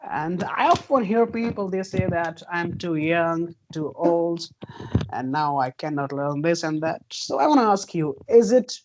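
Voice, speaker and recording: A woman's voice: on the low side, lively intonation, a little slow, background noise. A woman hosting an interview, asking questions politely and answering with short encouraging remarks. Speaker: interviewer host.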